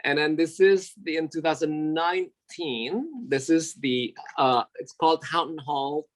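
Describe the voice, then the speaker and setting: A man born in Indonesia with Javanese as his first language, an interior designer talking warmly about his work from his office in a casual interview, often pausing on fillers. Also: a little high. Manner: warm, sentimental, halting with many fillers. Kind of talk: casual interview over video call. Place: his office at a city store.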